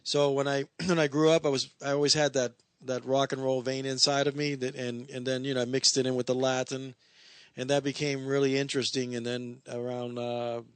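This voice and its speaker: A man in his fifties from Puerto Rico recalls his music life in a relaxed, rambling way. He is mid-pitched and restarts often. He is on a dry studio mic. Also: brisk, clear, resonant tone, no room sound. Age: 50s. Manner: rambling, easygoing, with frequent restarts. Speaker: guest musician. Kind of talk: casual chat. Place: radio studio. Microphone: studio microphone.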